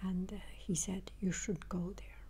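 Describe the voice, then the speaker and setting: An older woman giving a reflective travel vlog narration, haltingly, repeating phrases as she searches for words, in a breathy, whispery voice. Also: fairly low pitch, flat delivery, dark tone. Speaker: travel vlogger.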